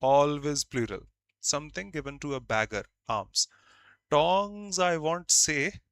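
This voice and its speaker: Emphatic teacher, bright voice. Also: male voice, on the high side, lively intonation, slow pace, smooth, no echo. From India. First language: Hindi. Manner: emphatic, repeats key words, interactive. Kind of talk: online grammar class.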